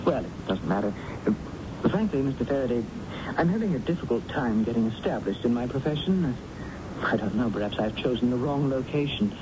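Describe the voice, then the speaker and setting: Guy playing hesitant young doctor down on his luck, expressive, frank, muffled noisy old radio sound. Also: mid-range voice, fairly quick, lightly acted. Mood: hesitant, candid. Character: earnest struggling young doctor, candid and hesitant. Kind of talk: old radio drama acting.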